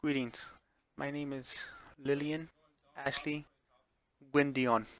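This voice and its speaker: A man's voice: mid-range voice, fairly even intonation, slow, fairly bright tone. Man reading as a shy, sweet schoolgirl. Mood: polite, friendly.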